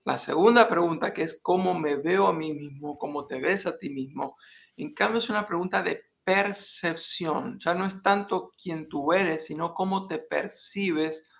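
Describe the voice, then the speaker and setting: A man who works as a life coach, talking in a measured, reflective way and posing questions to the listener. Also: on the high side, vocal fry, fairly bright tone. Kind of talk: reflective motivational talk.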